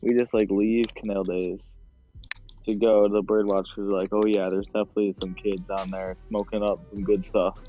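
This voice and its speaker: A man's voice: lowish voice, flat delivery, moderate pace, mellow, lo-fi sound. A young man chatting casually with friends, slangy and bantering as he tells stories. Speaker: podcast co-host. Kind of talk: casual chat. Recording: background music under voice. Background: music.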